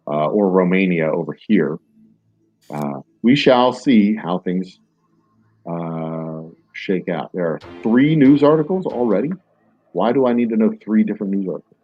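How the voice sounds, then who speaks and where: A man's voice: low voice, husky, no room sound, muffled recording. A man casually commenting on a strategy game as he plays, rambling and self-mocking with many fillers. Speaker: gaming streamer. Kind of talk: casual let's-play commentary. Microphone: desk microphone.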